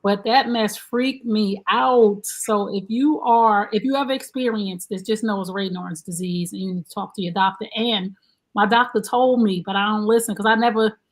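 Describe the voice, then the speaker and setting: A woman, hosting a live-streamed relationship talk show in a chatty, animated way. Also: medium pitch, low-quality recording. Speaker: host.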